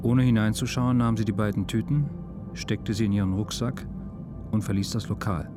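A man's voice: fairly low pitch, steady tone, moderate pace. A man narrating a literary radio drama from a script in a sober, matter-of-fact way. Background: music.

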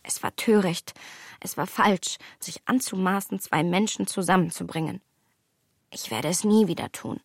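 An actress playing a proud, self-reproaching young gentlewoman with a haughty, emphatic manner in a German radio drama. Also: lowish voice, moderate pace, no echo, clean studio sound. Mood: remorseful, troubled. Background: music.

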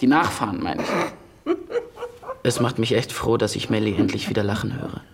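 A man's voice: lowish voice, expressive, normal speed, gravelly, a crowd in the background. An actor playing a casual, teasing, slightly wounded man in love in a radio play, speaking in clipped colloquial phrases. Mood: teasing, chatty. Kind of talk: radio play acting with intimate monologue. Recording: music behind the voice.

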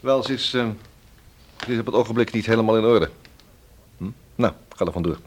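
An actor playing a calm, probing police inspector in a radio play, speaking in a measured, matter-of-fact, drily wry way. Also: male voice, fairly low pitch, slight background noise, lightly acted. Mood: dry, wry.

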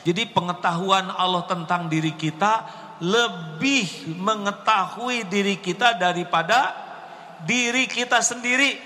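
Slow-speaking preacher in a reverberant hall. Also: male voice, high voice. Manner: gentle, rising to impassioned exclamations. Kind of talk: sermon with Q&A.

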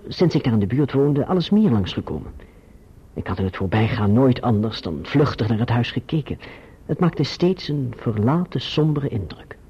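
Young writer, radio play: earnest, courteous, slightly husky delivery, dull vintage sound. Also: male voice, mid-range voice, warm tone. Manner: courteous, measured. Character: polite, earnest young newlywed gentleman writer. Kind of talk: radio play acting and narration. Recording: dull, muffled radio recording.

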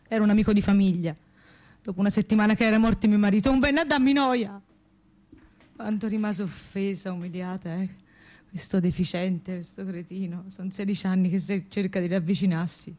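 A woman's voice: medium pitch, mellow, lightly acted. An actress as a scornful widow in a naturalistic radio drama. She speaks with a Tuscan accent, blunt and sarcastic, throwing her bitterness straight at whoever is listening. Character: bitter, scornful widow railing at a family friend. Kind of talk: naturalistic radio theatre acting.

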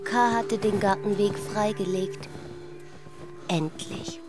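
A woman's voice: mid-range voice, fairly even intonation, slow. A woman narrating literary prose for a radio play in short phrases, in pronunciation. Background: music.

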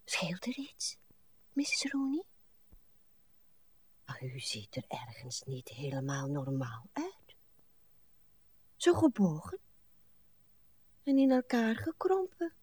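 An actress playing a prim, pious, put-upon spinster in a radio play, fussy and martyred, often hushed. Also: female voice, lowish voice, wildly swooping pitch, slow pace, pauses often, slight background noise, full character voice. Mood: hushed, self-justifying.

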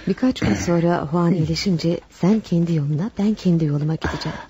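An actress in a radio play voicing a torn, dutiful wife caught in a secret love affair, measured and dramatic. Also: female voice, fairly low pitch, dark tone, close to an everyday voice. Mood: firm, regretful. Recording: dull, noisy old radio tape.

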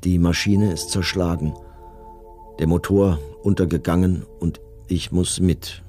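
Low, dark-voiced remorseful condemned commandant. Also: male voice, steady tone, faint music behind. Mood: solemn, resigned. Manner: grave, measured, formal. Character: remorseful condemned Nazi camp commandant, solemn and self-justifying. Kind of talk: dramatized reading of memoirs and letters in radio documentary.

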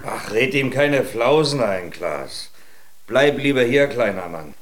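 An actor playing a shrewd, stingy farmer with a coaxing manner in a children's radio play. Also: male voice, medium pitch, lively intonation, takes it slowly, a little hiss or hum. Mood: cautioning, sly. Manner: calculating, coaxing. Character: shrewd, stingy farmer, falsely kindly husband.

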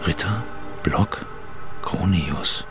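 An actor playing a terse investigator in a radio drama, muttering and thinking aloud in clipped phrases. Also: male voice, low voice, very slow, over music. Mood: focused, musing. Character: male investigator Troller, terse, wry, searching and muttering.

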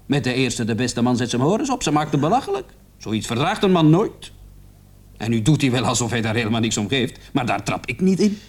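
An actor playing an eager police subordinate in a radio play, speaking in an earnest, insistent manner. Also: male voice, mid-range voice, big pitch swings, fairly quick.

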